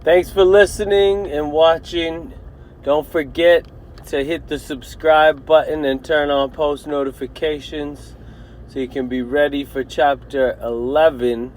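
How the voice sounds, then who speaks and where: A man reading a children's novel aloud as a teacher, in an expressive storytelling style. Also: fairly high pitch, unhurried, a little hiss or hum.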